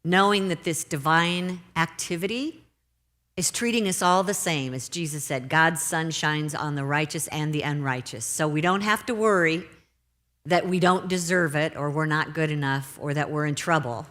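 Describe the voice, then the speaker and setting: Low-voiced woman minister, gentle sermon. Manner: gentle, unhurried, slows for emphasis. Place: church sanctuary.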